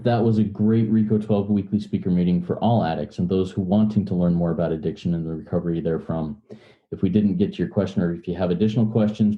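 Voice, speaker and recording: A man hosting a recovery meeting, speaking as the one who leads announcements and prayer, on dull lo-fi audio. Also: low-pitched. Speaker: podcast host.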